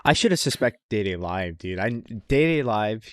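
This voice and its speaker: Male podcast co-host, lower register, dry sound, loose chatty delivery with repeated phrases and casual swearing. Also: animated, normal speed, a bit bright, no echo. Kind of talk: casual podcast chat about anime.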